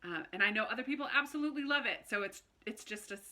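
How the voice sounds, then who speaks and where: Woman vlogger, very bright and crisp-edged tone, slightly high voice, informal and chatty, talking straight to her audience. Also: animated, racing. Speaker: book vlogger, channel host. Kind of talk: casual chatty video talk to viewers.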